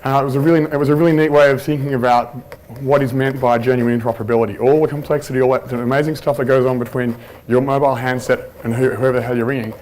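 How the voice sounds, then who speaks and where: A man talks through a workshop presentation in a loose, conversational way, trailing off and restarting as he goes. His voice sits at an ordinary middle pitch, picked up on a handheld mic with a faint hiss underneath. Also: very fast, rough recording. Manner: relaxed, chatty, frequent ums and restarts. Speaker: conference presenter. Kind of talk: informal conference talk with anecdotes. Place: conference room at a workshop. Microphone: handheld microphone.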